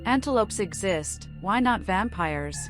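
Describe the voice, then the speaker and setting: A woman's voice: medium pitch, animated, a little slow, over music, natural voice. Woman voicing a whimsical mad vampire woman. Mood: dreamy, cryptic. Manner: whimsical, oddly poetic. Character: mad female vampire speaking in cryptic riddles. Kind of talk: video game character acting.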